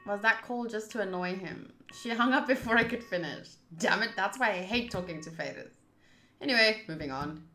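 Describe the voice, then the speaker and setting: A woman's voice: mid-range voice, lively intonation, normal speed, pushed and bright, a little hiss or hum. A chatty woman doing casual playthrough commentary, thinking aloud and reading game text. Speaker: host of a visual novel playthrough. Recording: roomy echo, game music behind. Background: game music.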